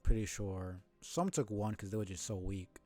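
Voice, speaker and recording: A man's voice: on the low side, moderate pace, warm tone, faint music behind. A male streamer giving casual live commentary while playing a game, muttering and swearing as he goes.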